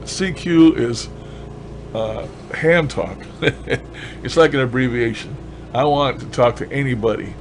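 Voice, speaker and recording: A man with a medium-pitched voice talks casually through a hobby demonstration. He is colloquial and enthusiastic, explaining things in plain folksy terms. A light hum and hiss sits behind him. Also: slow pace, pauses often. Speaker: ham radio hobbyist vlogger. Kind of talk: casual rambling demonstration of a radio gadget.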